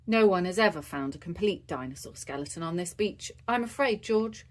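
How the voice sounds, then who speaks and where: A woman's voice: on the low side, animated, fairly quick, close to an everyday voice. A woman voicing a kindly grown-up female rabbit who guides children in a storybook.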